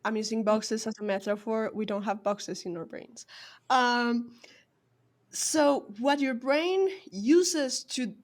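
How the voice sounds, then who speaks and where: Higher-pitched woman. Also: slow pace. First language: Spanish. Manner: thoughtful, explanatory, academic. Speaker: podcast co-host. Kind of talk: casual podcast chat.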